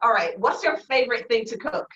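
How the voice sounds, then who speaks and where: A woman from Barbados hosting an interview. She talks in an effusive, animated way and gives big praise. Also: on the high side, fairly quick. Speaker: interview host. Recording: echoey, dull sound.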